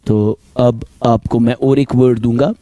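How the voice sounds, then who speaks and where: A man with a Kashmiri accent teaching reasoning in class, going over each point slowly and again, switching between Hindi and English and calling his students beta. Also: mid-range voice, steady tone, warm tone, no room sound. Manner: slow, repetitive, mixes Hindi and English, addresses students as beta. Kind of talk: classroom lecture.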